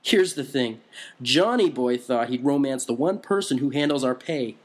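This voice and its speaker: A man voicing a loud, tactless punk woman in her late fifties, with a husky voice. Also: medium pitch, animated, normal speed, close to an everyday voice. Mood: gossipy.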